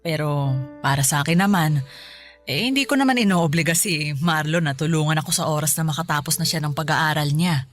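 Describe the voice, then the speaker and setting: Woman playing middle-aged mother, Filipino accent, lowish voice, kindly, reassuring, measured, radio drama, soft music behind. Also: lightly acted. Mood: earnest, reassuring.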